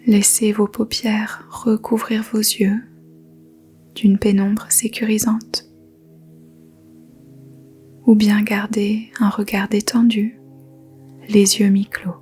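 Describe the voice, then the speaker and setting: A woman guides a yoga nidra meditation in a soft, whispery voice. Her delivery is very slow and even, keeping close to one pitch. Faint music plays beneath her gentle instructions. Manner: slow, gentle, soothing instructions with long pauses.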